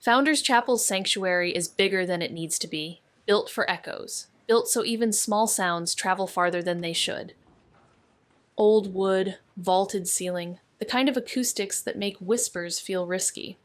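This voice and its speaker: Woman acting a deadpan young noir sleuth, close and dry. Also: mid-range voice, measured pace, no room sound, close to an everyday voice. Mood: somber, watchful. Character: wry, watchful young female amateur sleuth narrating noir mystery. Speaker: narrator and lead character. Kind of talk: noir scripted narration.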